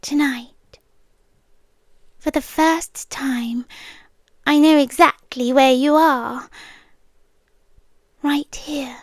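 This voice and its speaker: Brief: young British woman, high voice, very slow soft whispers, dry close-mic ASMR roleplay. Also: fairly even intonation, no room sound. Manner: soft whispery intimate delivery. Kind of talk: scripted ASMR girlfriend roleplay.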